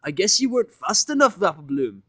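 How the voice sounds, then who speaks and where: A man voicing a small, playful, teasing filly pony with a squeaky put-on voice. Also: big pitch swings, a little fast, bright, forceful tone, breathy edge, dry recording, full character voice.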